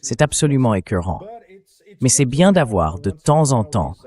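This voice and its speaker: A man's voice: medium pitch, very animated, moderate pace, a little mellow. A man giving opinionated political commentary as an interview guest, emphatic and fond of rhetorical questions, in a synthetic translated dub.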